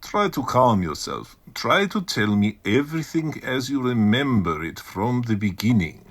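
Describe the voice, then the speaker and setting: An actor playing a calm, sceptical psychiatrist in an audio drama, questioning a patient in a measured, coaxing way. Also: male voice, mid-range voice.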